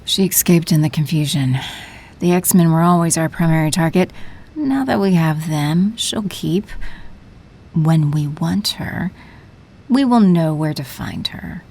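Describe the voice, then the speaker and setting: A woman's voice: low voice, expressive, a little slow, darkish tone, breathy edge, heavily acted. A woman playing a cold, commanding villainess in an audio drama, imperious and coolly menacing in her delivery. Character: cold, commanding villainess, telepathic leader of henchmen.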